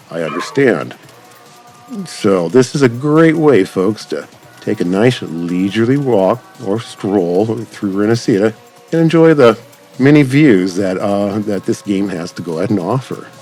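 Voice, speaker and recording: Male gaming commentator, very low register, loose and wandering unscripted delivery, music in the background. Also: a little mellow. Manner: rambling, long run-on sentences. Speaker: gaming channel host. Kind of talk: casual unscripted game showcase commentary. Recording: music over the voice.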